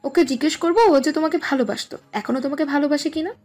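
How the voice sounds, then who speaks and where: A woman plays a meddling, teasing little sister with a high voice, quick to take offence. It is an audio love story with faint music underneath. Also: a little mellow, lightly acted. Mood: insistent, confiding.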